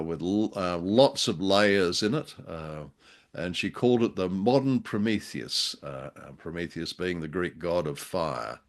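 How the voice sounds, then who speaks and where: A man co-hosting a podcast in casual conversation, with a curious, chatty, questioning manner. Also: low voice. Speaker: podcast co-host.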